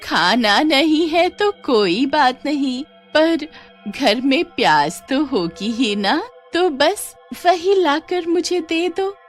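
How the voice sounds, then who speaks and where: A female narrator puts on a wheedling old village crone from a horror folktale. She speaks slowly and coaxingly, her high voice swooping up and down. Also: expressive, soft background music. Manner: slow, coaxing, pleading. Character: hungry, wheedling old village woman, secretly a witch. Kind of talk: dramatized Hindi horror folktale.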